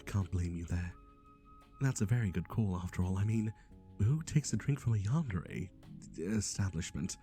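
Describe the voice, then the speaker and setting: A man's voice: fairly low pitch, dark tone. A man playing a chatty, cheerfully creepy matchmaker in an ASMR roleplay, with breezy, mock-reassuring, slightly hesitant patter. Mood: breezy, darkly amused. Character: chatty, cheerfully creepy male yandere dating agency host.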